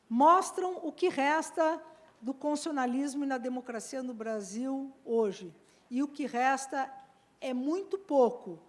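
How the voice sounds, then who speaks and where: A woman delivers an academic law talk in a high voice. She is earnest and lifts her voice on the points she wants to stress. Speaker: law professor and panel speaker. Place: seminar hall.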